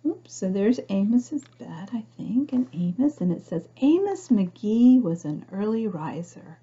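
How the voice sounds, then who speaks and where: A woman reads a picture book aloud to young children, pausing to explain things simply and ask the listener questions. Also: medium pitch, sing-song, mellow, slightly rough, dull audio. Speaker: storybook reader for young children.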